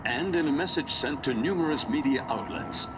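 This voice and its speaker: An actor playing a sober male news reporter, speaking in a measured, formal way in a video game news report. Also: fairly high pitch, expressive, close to an everyday voice. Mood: grave, measured. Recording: outdoor city and vehicle noise behind.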